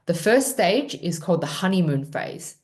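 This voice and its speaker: A woman's voice: lowish voice, big pitch swings, moderate pace, darkish tone. A woman presenting a student orientation webinar, explaining in a reassuring way with the odd filler. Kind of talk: pre-departure orientation webinar talk.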